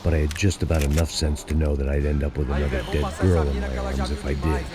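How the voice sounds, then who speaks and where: An actor playing a bitter, hard-drinking investigator who narrates in a terse, sardonic, world-weary noir style. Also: male voice, extremely low pitch, level tone, fast, very noisy. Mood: weary, regretful.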